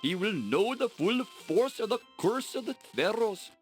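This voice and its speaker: A man's voice: high voice, big pitch swings, unhurried, soft background music. An actor playing a stern, proud Egyptian male scholar who speaks in formal, solemn and menacing pronouncements, in a 1990s adventure game. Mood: wrathful.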